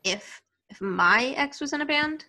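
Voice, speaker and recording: Cool young woman, acted by a woman, fairly high pitch, crisp bright tone, guarded, script read. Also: lively intonation, measured pace, exaggerated voice. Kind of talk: amateur table read of a film script. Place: home, group video call.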